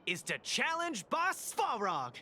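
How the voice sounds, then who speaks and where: A man's voice: very high voice, huge pitch swings, slow, sharp tone, a little hiss or hum, full character voice. An actor voicing a cheerful, boastful young male underworld fighter in video game dialogue who laughs things off.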